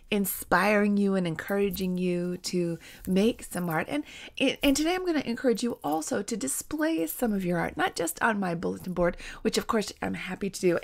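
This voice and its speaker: Gravelly-voiced woman vlogging from home. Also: medium pitch. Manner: warm, encouraging, animated with hand gestures. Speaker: creative coach and vlogger. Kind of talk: casual direct-to-camera vlog. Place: home studio room with bookshelves and string lights. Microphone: webcam at desk distance.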